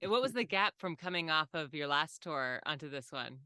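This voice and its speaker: Crisp, bright woman podcast host. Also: low-pitched, lively intonation, brisk, no echo, studio quality. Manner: friendly and chatty, asks follow-up questions. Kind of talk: casual interview chat.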